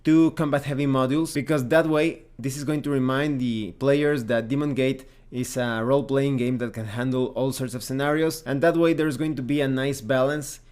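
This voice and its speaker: Man giving a measured review commentary. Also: mid-range voice, steady tone, a little mellow, clean tone. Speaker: tabletop game reviewer and channel host. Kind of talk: semi-scripted review commentary.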